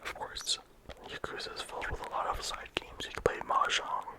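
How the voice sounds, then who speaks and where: A game reviewer talking casually in a soft ASMR whisper, with frequent "like" and "um" fillers. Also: brisk. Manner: soft unvoiced whisper throughout.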